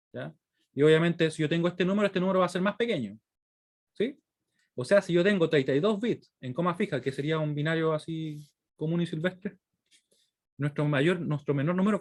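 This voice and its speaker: Chilean man lecturing, slightly high voice. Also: a little mellow. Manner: didactic, frequent check-in questions. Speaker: university lecturer. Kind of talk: explanatory lecture to students, working examples aloud.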